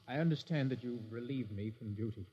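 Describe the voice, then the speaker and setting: An actor playing an earnest, stubborn young lieutenant, formal and respectful, in a 1950s radio drama. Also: male voice, medium pitch, a little fast, lo-fi sound, close to an everyday voice. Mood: earnest, insistent. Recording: 1950s radio recording, faint noise.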